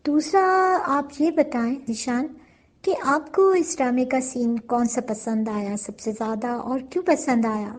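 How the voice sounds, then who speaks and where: A woman's voice: fairly high pitch, a little slow, darkish tone. A woman hosting a casual interview chat, mixing English phrases into her speech. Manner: mixes Urdu with English phrases. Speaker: interview host and audio drama producer.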